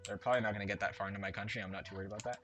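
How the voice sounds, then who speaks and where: A man's voice: on the low side, fairly even intonation, rapid-fire, fairly bright tone. A man casually commentating a strategy game as he plays, thinking aloud and correcting himself as he goes. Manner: thinking aloud while playing, chatty and self-correcting. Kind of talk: casual let's-play commentary. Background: game music and keyboard typing.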